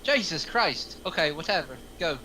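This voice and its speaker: A man's voice: fairly high pitch, lively intonation, moderate pace, sharp tone, slight background noise, poor audio. An actor playing an exasperated, foul-mouthed male criminal, incredulous, in a script table read.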